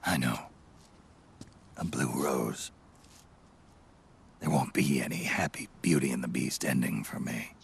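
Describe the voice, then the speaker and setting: An actor playing a dying, prematurely aged soldier in a game cutscene, terse, solemn and weary, with a gravelly, breathy rasp. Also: male voice, rumbling low, measured pace, pauses often, heavily acted. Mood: weary, resigned. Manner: terse, solemn, half-whispered. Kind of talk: dramatic video game cutscene acting.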